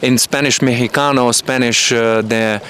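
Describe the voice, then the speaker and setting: Male guest, Russian/Ukrainian native, expressive and lively, medium pitch, light noise floor. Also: normal speed. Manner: animated, acts out voices and jumps up in pitch. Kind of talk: casual conversation.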